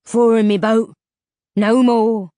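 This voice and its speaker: A woman voicing a menacing scaly male ferryman who croaks and speaks slowly and threateningly in a throaty rumble. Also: level tone, warm tone, dry recording, studio quality. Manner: slow, drawn-out and threatening. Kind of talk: audiobook character voice.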